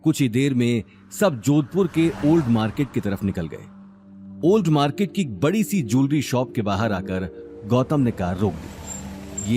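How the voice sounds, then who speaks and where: Lively male audio drama narrator. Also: mid-range voice. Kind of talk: dramatic scripted story narration.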